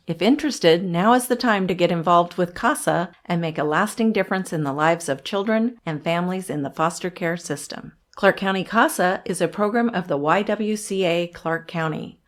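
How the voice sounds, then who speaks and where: A woman announcer reading a scripted public service message for radio. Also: fairly low pitch.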